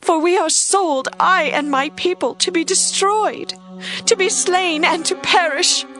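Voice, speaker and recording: A woman's voice: very high voice, measured pace, a bit bright, faint music behind. An actress playing a young queen making an earnest, pleading request in a dramatized scripture reading.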